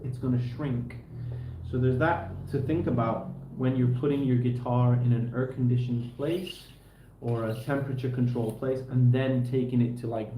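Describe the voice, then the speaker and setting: A man's voice: mid-range voice, dark tone. An easygoing man chatting casually to livestream viewers and to himself as he does hands-on work, in a tutorial style. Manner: easygoing, talks to himself and viewers while working. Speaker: livestreamer fixing a guitar. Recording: roomy echo, faint background noise.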